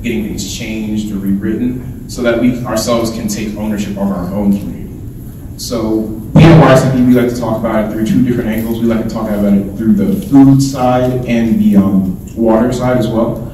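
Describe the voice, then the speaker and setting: A man's voice: fairly low pitch, steady tone, normal speed, noisy background. A young man, a student giving an earnest conference presentation on a handheld mic, explaining things with frequent ums. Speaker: student speaker. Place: large indoor hall with projector screen. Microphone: handheld mic, filmed from far. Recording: roomy hall echo, distant camera.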